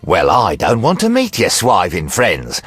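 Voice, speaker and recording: A man's voice: deep voice, wildly swooping pitch, measured pace, a bit bright, slightly rough, no room sound, soft background music, heavily acted. An actor playing a menacing male crime boss in a video game, taunting and mock-polite. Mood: sneering, defiant.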